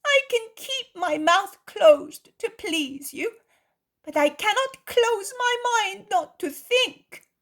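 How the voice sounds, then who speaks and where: A woman's voice: very high-pitched, lively intonation, fairly bright tone, pitched above the performer's own voice, exaggerated voice. A woman voicing an outspoken, stubbornly honest little boy in a story, earnest and emphatic. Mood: earnest, defiant. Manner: slow, earnest, emphatic childish delivery.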